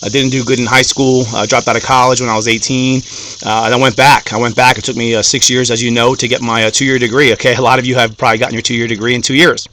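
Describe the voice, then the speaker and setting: Fast, bright-voiced motivational man. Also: medium pitch, little pitch movement, slight background noise. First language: Greek. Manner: repeats "okay?" and "guys", builds to rising fired-up climax. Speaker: motivational speaker. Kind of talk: motivational talk to followers.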